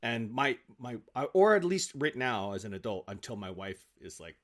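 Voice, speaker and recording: A man, probably middle-aged, telling anecdotes casually in an animated, self-deprecating, halting way as an interview guest. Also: mid-range voice. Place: home office, on a remote call.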